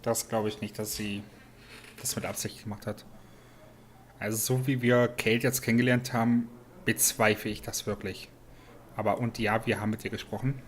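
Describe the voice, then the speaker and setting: Let's Play host, casual gameplay commentary, mid-range voice, clear background noise. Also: medium pitch, little pitch movement, moderate pace.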